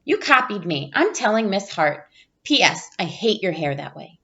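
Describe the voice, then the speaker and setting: A woman's voice: mid-range voice, very animated, moderate pace, fairly bright tone. A proud little storybook schoolgirl, voiced by a woman reader, childlike and pointed in delivery. The recording sounds slightly dull and lo-fi. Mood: annoyed.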